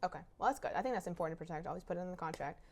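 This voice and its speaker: A woman hosts a casual interview podcast. She talks quickly in a slightly low voice for a woman. Her manner is encouraging and affirming, in an everyday conversational style. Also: animated, a little mellow, slight background noise.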